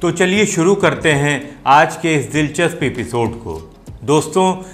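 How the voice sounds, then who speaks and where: A man's voice: fairly high pitch, expressive, a little slow. A man hosting and explaining things to viewers in video commentary. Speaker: host of a film music show. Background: music playing behind the voice.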